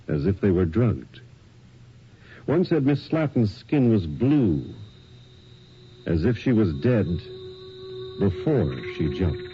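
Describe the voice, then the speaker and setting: A man playing a formal, persistent police investigator in a radio drama, asking short, measured questions. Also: low-pitched, breathy edge, full character voice. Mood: grave, formal. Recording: dull 1980s radio drama recording.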